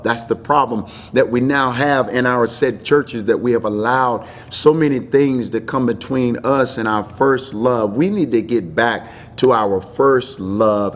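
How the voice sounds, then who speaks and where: Man, middle-aged, pastor, sermon delivery, emphatic, repetitive phrasing, mid-pitched voice. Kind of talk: sermon, Bible teaching.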